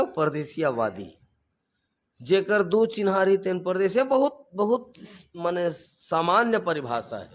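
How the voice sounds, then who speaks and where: A man with a Chhattisgarhi accent answers interview questions as a regional activist. His voice sits fairly high, and he speaks with fire and force, hammering home repeated slogans. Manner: fiery, emphatic, repeats slogans.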